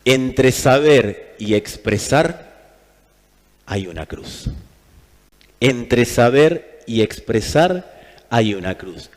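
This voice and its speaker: Argentine male preacher in a reverberant hall. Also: medium pitch. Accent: Argentine Spanish. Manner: emphatic, repeats phrases for effect. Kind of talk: sermon.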